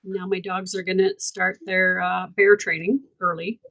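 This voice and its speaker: A woman's voice: on the low side, flat delivery, normal speed, vocal fry. A woman hosting a casual online group call, talking in an affirming, spiritual way over a video call mic. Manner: warm, affirming, spiritual wording. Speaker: community call host.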